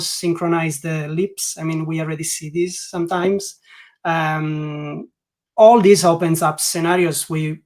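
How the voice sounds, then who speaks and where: A man from Italy with an Italian accent, speaking English as a second language as a guest in a webinar interview, often restarting his sentences and filling gaps with "like". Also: fairly high pitch. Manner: hesitant, many restarts and "like" fillers. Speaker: guest learning experience designer.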